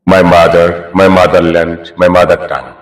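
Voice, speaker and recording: Bangladeshi male journalist, low voice, lecturing interviewer, roomy echo. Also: level tone, normal speed. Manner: lecturing, repeats points and asks "isn't it?".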